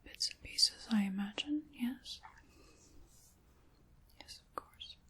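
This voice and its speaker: A woman playing a sinister, chaos-loving dark witch in a whispered, theatrical ASMR roleplay, soft-spoken and close to the microphone. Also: on the high side, slow. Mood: wry, musing.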